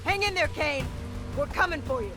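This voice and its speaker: A woman's voice: high voice, animated, moderate pace, over music. An actress playing a determined, loyal female soldier in a video game cutscene, urgent and resolute.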